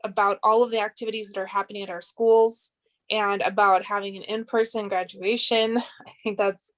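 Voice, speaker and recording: A woman's voice: medium pitch, little pitch movement, normal speed. A woman serving as a school board member, giving earnest remarks at a public meeting.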